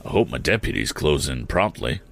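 Guy doing a gruff sheriff, low voice, rallying his men, storybook adventure. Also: expressive, moderate pace, bright, forceful tone, no room sound, full character voice. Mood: wary. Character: gruff, eager lawman sheriff leading a raid.

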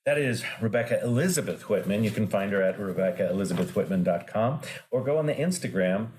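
A man's voice: lowish voice, lively intonation, fast talker, darkish tone, a little hiss or hum. A man hosting a podcast interview, playful and jokey, with enthusiastic praise for his guest. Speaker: podcast host.

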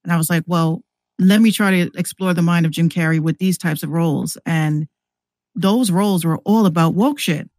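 A man with a slightly high voice chats as a guest on a podcast. His talk is loose and rambling, circling back over words as he thinks, and the sound is close and dry. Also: moderate pace, darkish tone, no room sound. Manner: rambling, repeats words while working out thoughts. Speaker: podcast guest and music maker. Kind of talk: casual conversational podcast talk.

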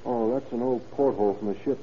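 A man's voice: mid-range voice, quick pace, lightly acted. An actor playing an evasive, easily agitated young American man under suspicion in an old-time radio crime drama, deferential but defensive. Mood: guarded. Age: young adult. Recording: muffled, noisy vintage radio recording.

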